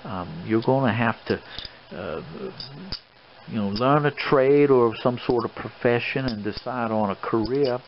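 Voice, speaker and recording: A man's voice: mid-range voice, lightly acted. Guy doing an old colonel giving a stern fatherly lecture, rambles and stalls, dull sound w/ noise in the back. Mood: gentle lecturing. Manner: halting, rambling, fatherly scolding. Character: old colonel, paternal, stern mansion owner lecturing a young man. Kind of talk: improvised ASMR role play monologue. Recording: dull lo-fi recording with clear background noise.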